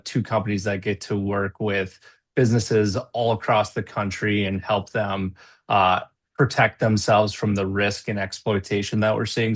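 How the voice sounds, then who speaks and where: A man's voice: on the low side, fairly bright tone. A man hosting a webinar over a video call, chatty and upbeat with plenty of fillers. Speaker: webinar host.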